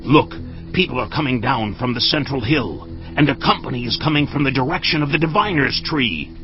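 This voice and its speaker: A man's voice: mid-range voice, brisk, close to an everyday voice. An actor playing a brash, defiant rebel leader in a dramatized audio scripture, declaiming his challenges. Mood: alarmed. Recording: slightly dull audio, faint music behind.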